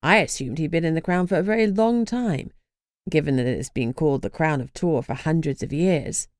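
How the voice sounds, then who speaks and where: A woman's voice: low-pitched, normal speed, a little mellow, no room sound, studio quality, lightly acted. Woman voicing a wry young woman.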